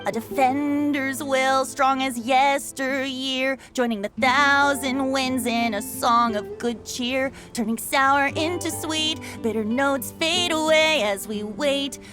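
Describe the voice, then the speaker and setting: A woman voicing a gentle bard in a video game, reciting lyrical festive verse. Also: sits high, slow pace, over music. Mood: wistful, festive.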